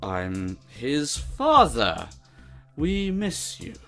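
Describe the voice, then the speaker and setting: Sinister male history teacher, played by a man, formal and unnerving, lively intonation over faint music. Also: medium pitch, extremely slow, gravelly, heavily acted. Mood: menacing. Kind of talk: audio drama acting.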